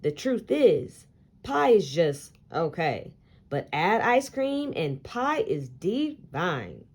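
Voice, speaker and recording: A woman voicing a cheerful, wise aunt in a children's storybook, with upbeat, emphatic, drawn-out delivery. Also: fairly low pitch, very animated, darkish tone, slightly rough, heavily acted. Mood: gleeful. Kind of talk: storybook character voice.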